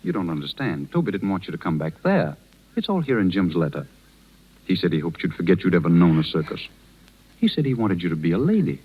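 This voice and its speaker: An actor playing a kind, reserved gentleman with a hesitant manner in a 1930s radio drama. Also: low-pitched, big pitch swings, slightly rough, exaggerated voice. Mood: somber, gentle. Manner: hesitant, halting. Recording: muffled 1930s radio broadcast recording.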